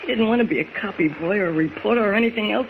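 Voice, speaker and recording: A man's voice: high-pitched, rapid-fire, vocal fry. An actor playing an eager, earnest copy boy, wide-eyed and gushing, in 1940s radio acting. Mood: amazed, relieved. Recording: noisy 1940s radio recording.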